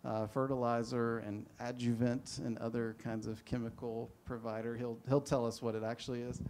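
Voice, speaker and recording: A man's voice: medium pitch, flat delivery, normal speed, darkish tone, slight background noise. A man moderating a panel, introducing the speakers in a rambling, self-deprecating way. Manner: rambling, self-deprecating, many fillers and restarts.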